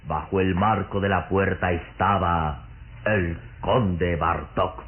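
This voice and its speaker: An actor playing a grave, theatrical narrator of a suspenseful gothic horror radio drama. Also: male voice, low-pitched, steady tone, slow. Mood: grave, ominous. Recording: muffled old radio recording with music.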